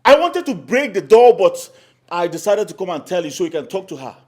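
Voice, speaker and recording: Actor for an indignant uncle, high and animated voice. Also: male voice, brisk. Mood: aggrieved. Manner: aggrieved, complaining, indignant. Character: aggrieved middle-aged uncle, company manager. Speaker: uncle running the family company. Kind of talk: film drama acting.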